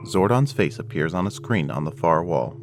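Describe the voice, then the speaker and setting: A man's voice: low-pitched, expressive, normal speed, faint music behind. A man giving scripted narration for an audio drama.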